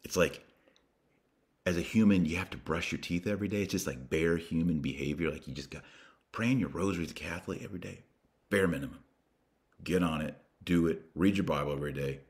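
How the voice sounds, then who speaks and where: A man with a deep, low-pitched voice speaks as a religious podcast host. He exhorts his listeners emphatically, pausing and repeating for weight, and mixes in colloquial turns of phrase. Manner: emphatic, preachy, with dramatic pauses and repetition.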